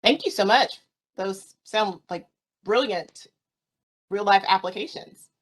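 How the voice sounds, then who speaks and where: Polite woman moderating a panel. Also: medium pitch. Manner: polite, measured, with fillers.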